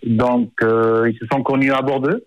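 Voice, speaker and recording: A man's voice: mid-range voice, level tone, normal speed, dry recording, highs cut off. A man in his fifties, an author born in France, talking in a radio interview and hesitating often, with many fillers and repeated words. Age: 50s.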